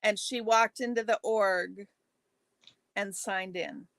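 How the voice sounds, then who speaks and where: A woman's voice: mid-range voice, fairly even intonation, slow, vocal fry, fairly bright tone, no room sound. A woman in casual conversation who tells stories earnestly. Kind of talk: casual chat.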